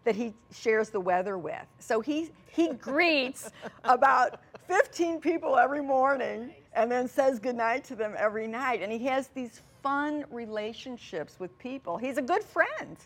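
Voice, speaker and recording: A woman's voice: on the high side, animated, unhurried. Middle-aged woman telling anecdotes, emphatic. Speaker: interview guest, small business representative. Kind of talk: conversational anecdotal talk.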